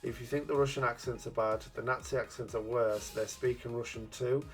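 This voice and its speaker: A man's voice: medium pitch, fairly even intonation, a little fast. A man hosting a casual gaming review chat, cheeky and jokey, often laughing at his own innuendo. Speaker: gaming channel host.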